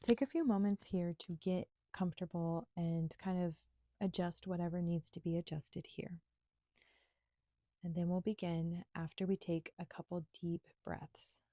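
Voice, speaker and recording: Slow, mellow woman guiding meditation. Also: fairly low pitch, fairly even intonation, no room sound, clean studio sound. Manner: gentle, soothing, unhurried with pauses. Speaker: yoga and meditation instructor. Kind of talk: guided meditation.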